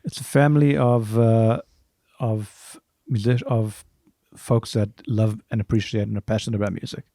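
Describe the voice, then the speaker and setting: A middle-aged man with a softened South African accent, answering interview questions casually in measured, halting phrases. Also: lowish voice, steady tone, no room sound, clean studio sound. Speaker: podcast guest, music arranger.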